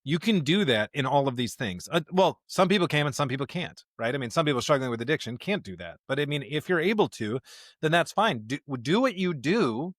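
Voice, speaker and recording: A man co-hosting a podcast in casual conversation, talking emphatically in digressive, halting phrases. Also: a little high, sing-song, no room sound, clean studio sound. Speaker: podcast co-host.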